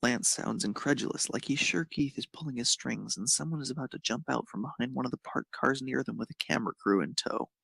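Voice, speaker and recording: A man's voice: mid-range voice, brisk, creaky, hardly any pauses, a bit bright, husky, no echo. A man reading scripted narration of a romantic fan fiction story.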